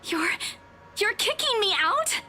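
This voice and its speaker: A woman's voice: extremely high pitch, sing-song, slow, bright, forceful tone, pitched above the performer's own voice, exaggerated voice. A woman voicing a stubborn, flustered young female agent who protests and sulks, in a visual novel read-aloud. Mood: protesting. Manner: protesting, sulky.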